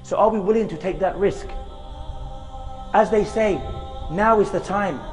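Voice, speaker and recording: A man with a high voice delivers an earnest, unhurried sermon outdoors in a graveyard. His words trail into a long reverb, and faint music plays underneath. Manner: earnest, slow, with Arabic recitation chanted. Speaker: preacher giving a short reminder.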